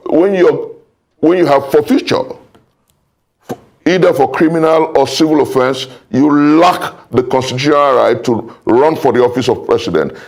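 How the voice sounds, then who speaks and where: A man's voice: fairly high pitch, vocal fry, a little mellow. A man with a Nigerian accent making an emphatic, formal argument in a political debate, repeating phrases for weight. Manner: emphatic, formal, deliberate repetition. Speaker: political panel guest.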